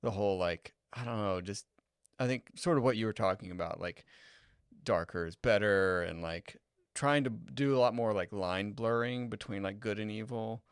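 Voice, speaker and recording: A man's voice: fairly low pitch, moderate pace, clean tone, no room sound, studio quality. A man co-hosting a podcast, speaking casually and hesitantly, often repeating words as he searches for them. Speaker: podcast co-host. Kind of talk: casual chat.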